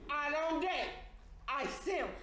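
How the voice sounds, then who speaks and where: A woman's voice: high voice, animated, a little slow, background noise. A woman playing a brash, flirty hotel worker in a stage comedy, with blunt, punchy delivery. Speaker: stage actor. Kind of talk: broad comedic stage acting. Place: indoor theater stage. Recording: echoey theater stage with audience.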